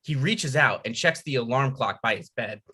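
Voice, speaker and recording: Casting: male script narrator, medium pitch, forward bright tone, reading scene headings and action. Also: expressive, normal speed, husky. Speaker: narrator reading stage directions. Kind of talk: scripted narration at a table read.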